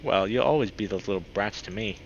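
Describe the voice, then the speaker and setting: A man's voice: lowish voice, brisk, natural voice. A man voicing a confident young male wolf in a visual novel, casual, fond and teasing. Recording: dull lo-fi mic with clear background noise.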